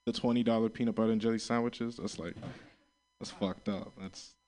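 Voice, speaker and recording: A man's voice: fairly low pitch, fairly even intonation, a little fast, warm tone. A man from Florida doing a crude, laid-back stand-up set, chuckling at his own jokes, standing back from the stage mic. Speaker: stand-up comedian. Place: comedy open mic room. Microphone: stage mic held back from the mouth.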